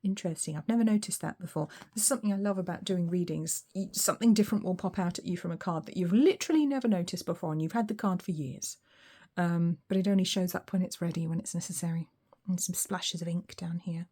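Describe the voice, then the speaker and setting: Mellow-voiced woman with a weak, slightly hoarse voice. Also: lowish voice, fast talker, hardly any pauses. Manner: musing, thinks aloud with frequent ums. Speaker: tarot reader. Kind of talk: relaxed solo tarot reading.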